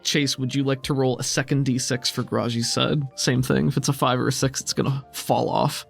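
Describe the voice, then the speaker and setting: A man's voice: medium pitch, steady tone, a little fast, creaky, soft background music. A man narrating a tabletop roleplaying game as game master, conversational and descriptive.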